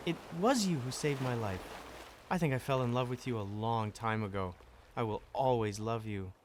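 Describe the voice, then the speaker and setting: A man's voice: medium pitch, normal speed, darkish tone, slight background noise, natural voice. A man playing a gallant, lovestruck prince in an audio drama, with an earnest, courtly manner. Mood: tender, adoring. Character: lovestruck gallant fairy-tale prince, adult male.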